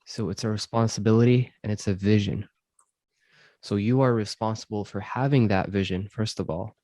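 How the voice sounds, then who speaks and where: A man from Syria, host of a self-improvement podcast, answering interview questions in a thoughtful, measured way with frequent ums. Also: on the low side, fairly even intonation, dark tone, no echo. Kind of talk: reflective conversational interview.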